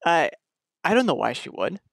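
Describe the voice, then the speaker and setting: An anxious young husband in a retro radio mystery, played by a man. He is agitated and defensive, with widely swinging pitch. The recording is dry and close. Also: big pitch swings, moderate pace, gravelly, fairly bright tone, no echo, full character voice. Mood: nervous, defensive.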